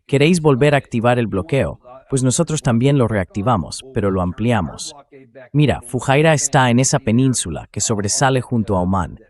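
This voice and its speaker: An AI-generated man's voice hosting conversational news commentary. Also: mid-range voice. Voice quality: synthetic AI translation voice. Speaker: host commentator.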